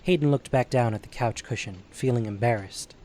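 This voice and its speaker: A man's voice: mid-range voice, a little fast, warm tone, slight background noise. A man narrating a scripted story as the narrator of an audio drama.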